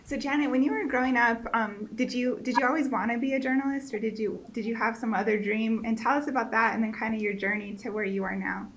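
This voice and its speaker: A woman's voice: on the high side, fast, hardly any pauses. A woman co-hosting a podcast, asking questions and sharing stories in a friendly, chatty way. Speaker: podcast co-host. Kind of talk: casual interview chat.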